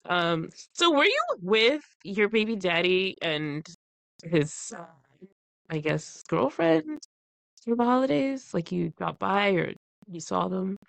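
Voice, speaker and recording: A woman's voice: mid-range voice, very animated, slow pace, vocal fry, dry recording. A young woman with a stuffy, congested voice from the flu, co-hosting a casual podcast, laughing often and talking loosely. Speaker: podcast co-host.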